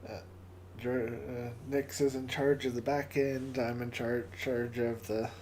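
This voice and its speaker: A man with a raspy, hoarse voice hosting a casual live stream and closing with a prayer, often stumbling and repeating words. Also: mid-range voice, darkish tone, slight background noise. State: hoarse from coughing. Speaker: livestream host.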